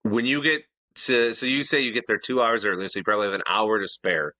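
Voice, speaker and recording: Middle-aged guy cohosting a podcast, low-ish bright voice, keeps restarting, dry mic. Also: fast talker, no room sound. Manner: earnest, detail-minded, with frequent restarts. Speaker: podcast co-host. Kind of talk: casual chat.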